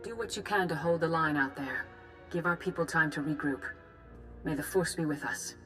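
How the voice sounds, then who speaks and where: A grave and composed Jedi master briefing on a war, in a low voice. She speaks formally and with measured solemnity, as in a scripted space-fantasy game, with faint music underneath. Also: a bit bright. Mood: relieved, resolute. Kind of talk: scripted video game dialogue.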